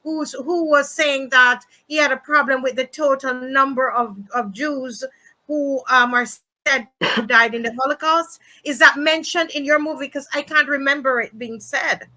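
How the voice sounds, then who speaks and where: High, sharp-voiced woman interviewer. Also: steady tone, normal speed. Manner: probing follow-up questions, recapping for viewers. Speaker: interview host. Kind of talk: interviewing a guest.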